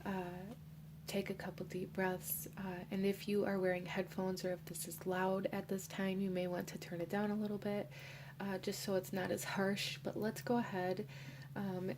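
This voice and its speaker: A young woman, hoarse from a cold, giving a gentle pick-a-card tarot reading and slipping into soft whispers. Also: mid-range voice, noisy background. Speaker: tarot reader.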